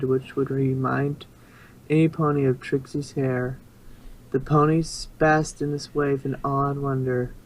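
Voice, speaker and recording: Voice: mid-range voice, slight background noise, low-quality recording. A narrator reading a story aloud in an amateur fan fiction reading.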